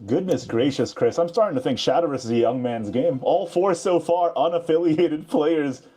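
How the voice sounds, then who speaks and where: A man co-hosting a live esports bracket draw, playful and quick to laugh as he builds suspense. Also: on the high side, dark tone. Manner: playful, laughs often, teasing. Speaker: esports co-host.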